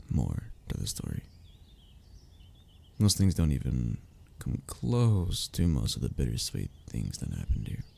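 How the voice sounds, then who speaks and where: A young man playing a wistful, lovestruck childhood best friend in an intimate audio roleplay, speaking in a halting, sighing, confessional way. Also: low voice, gravelly, dark tone, husky, full character voice. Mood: hesitant, wistful. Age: young adult.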